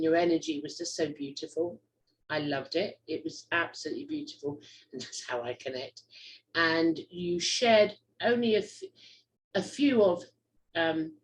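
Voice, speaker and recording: A woman's voice: on the low side, slight background noise, low-quality recording. A middle-aged woman from the UK hosting a casual interview, effusive and rambling, with many fillers. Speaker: host.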